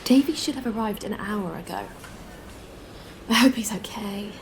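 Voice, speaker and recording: Sci-fi audio drama: kind, protective female lead, actress, medium pitch, earnest, over clear background noise. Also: moderate pace, lightly acted. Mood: worried. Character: kind, anxious, protective young woman space traveller.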